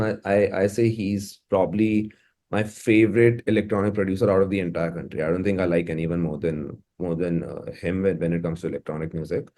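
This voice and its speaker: A man's voice: lowish voice, dark tone. A man with an Indian accent, chatting casually and effusively as a music producer being interviewed. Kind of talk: casual, conversational interview talk.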